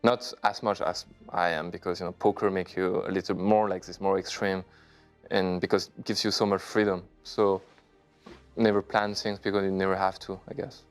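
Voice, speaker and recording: A man in his thirties from China, answering interview questions in halting, relaxed English with many fillers. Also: sits low, clear, resonant tone, soft background music. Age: 30s. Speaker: poker player guest.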